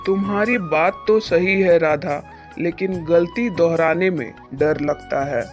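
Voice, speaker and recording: Humble, earnest farmer for cartoon fable, measured slow speech, music softly behind. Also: male voice, fairly high pitch, highs cut off. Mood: downcast, fearful. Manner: pleading, earnest, respectful. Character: humble, earnest poor male farmer, determined. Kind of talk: moral-story cartoon dubbing. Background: background music.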